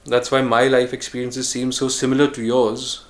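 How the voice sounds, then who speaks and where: Musing man in a reflective monologue. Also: mid-range voice. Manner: musing, unhurried, with frequent pauses and restarts; earnest, speaks of choosing calm over harshness. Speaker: vlogger.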